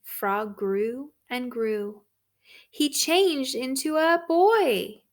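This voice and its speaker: A woman reading a story aloud to children, expressive and deliberate. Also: high-pitched. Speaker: storybook reader for third graders.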